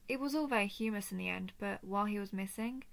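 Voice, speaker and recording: A woman voicing a gentle, protective female dragon in a scripted roleplay audio drama, soft and soothing with formal, measured diction. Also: mid-range voice, creaky, close to an everyday voice. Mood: wistful, fond. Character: gentle, protective female dragon, earnest empathic royal daughter.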